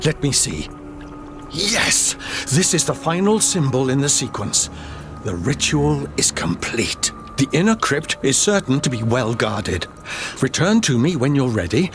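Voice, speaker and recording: Brief: a formal, courteous old professor, lively melodic delivery. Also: male voice, mid-range voice. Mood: earnest. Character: courteous older male professor, scholarly and commanding. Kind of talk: game cutscene voice acting. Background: game music.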